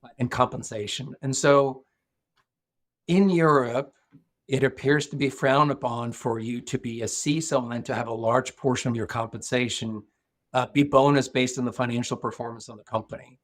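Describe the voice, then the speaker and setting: A man's voice: mid-range voice, steady tone. A middle-aged man from Norway, a guest speaking thoughtfully in a podcast interview, often restarting his sentences. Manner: thoughtful, measured, with frequent restarts. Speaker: guest, security executive.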